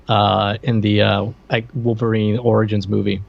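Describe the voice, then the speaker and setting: A man's voice: fairly low pitch, flat delivery, normal speed, gravelly. Man chatting on a muffled podcast. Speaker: podcast co-host. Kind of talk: casual chat about cartoons and cast lists.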